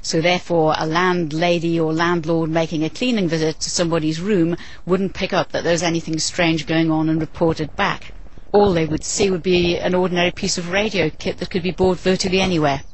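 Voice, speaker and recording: Woman explaining formally, fairly low voice. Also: fairly even intonation, fairly quick, low-quality recording. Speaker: trust director, interviewee.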